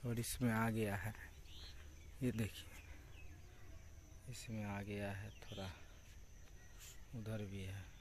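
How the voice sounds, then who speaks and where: A man's voice: on the low side, level tone, takes it slowly, pauses often. A young man from Bihar with a Bihari accent, casually narrating a farm tour for a vlog in a repetitive, explanatory way, pointing things out. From Bihar, India. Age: young adult. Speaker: vlogger. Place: open farm fields outdoors. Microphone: handheld phone. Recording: outdoor phone recording with clear background noise. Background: outdoor rural ambience.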